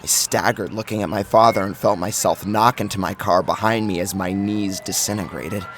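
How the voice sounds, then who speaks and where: A man's voice: fairly low pitch, steady tone, moderate pace, fairly bright tone, a little hiss or hum. A man narrating a first-person horror story with an intense, emotive, dramatic delivery. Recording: music behind the voice.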